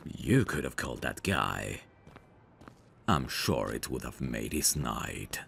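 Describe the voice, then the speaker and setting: Dangerous male mafia boss, played by a voice actor, ASMR audio drama: slow, lively intonation, self-assured teasing, protective streak. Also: extremely low pitch, creaky, slight background noise, full character voice. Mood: teasing, probing.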